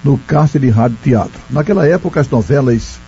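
A man's voice: mid-range voice, fairly quick, dark tone, slightly rough. A man in his fifties with a Northeastern Brazilian accent, a veteran radio actor reminiscing in an interview on an archive recording. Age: 50s. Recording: dull 1990s archive interview, faint music.

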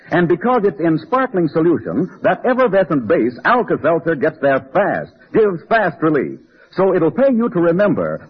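Animated husky male vintage radio pitchman. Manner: persuasive, direct address to listeners. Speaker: radio announcer reading sponsor ad. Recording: tinny narrow vintage radio recording.

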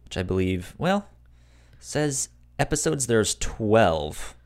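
A man's voice: on the low side, big pitch swings, slow, darkish tone. A man chatting casually as the host of a podcast.

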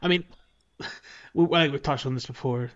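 A man's voice: mid-range voice, normal speed, fairly bright tone, lo-fi sound. A man co-hosting a podcast, casually discussing video games in conversation with others. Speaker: podcast co-host.

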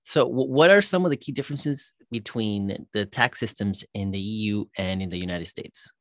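A man's voice: fairly low pitch, normal speed, fairly bright tone, no echo, studio quality. A man hosting a business podcast, welcoming guests in an upbeat way. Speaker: podcast host. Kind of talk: podcast interview.

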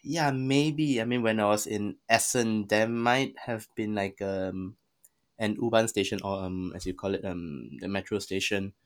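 A man's voice: fairly low pitch, unhurried. A young man with a Singaporean accent chatting casually as a guest, hesitantly searching for his words. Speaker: podcast guest, musician. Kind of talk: casual conversational storytelling.

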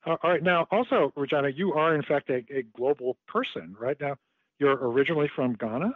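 A man's voice: a little high, fairly quick, dry recording. Man asking questions over a tinny line. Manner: friendly, lightly joking questions. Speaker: interviewer. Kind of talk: conversational interview.

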